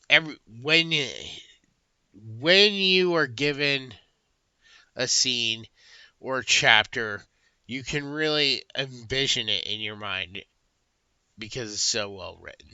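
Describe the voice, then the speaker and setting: A man's voice: medium pitch, sharp tone, clean tone, no room sound, lo-fi sound. A young adult man giving a casual, rambling book review, full of fillers and restarts.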